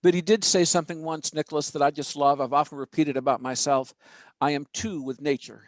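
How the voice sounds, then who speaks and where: A man hosting an interview podcast, chatty and quick to laugh. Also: fairly high pitch, steady tone, no room sound. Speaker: podcast host.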